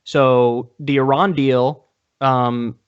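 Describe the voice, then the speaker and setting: A man's voice: medium pitch, steady tone, drawn-out words, smooth, dull audio. A young man debating politics in an emphatic, profane way, repeating phrases for stress. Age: young adult. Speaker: debate guest. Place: indoor room, video call.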